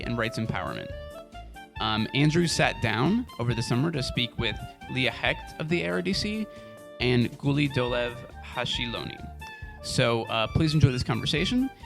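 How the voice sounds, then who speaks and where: A man's voice: mid-range voice, gravelly. A man hosting a podcast, reading a scripted introduction in an upbeat, announcer-like way. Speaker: podcast host. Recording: music bed behind voice. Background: guitar music.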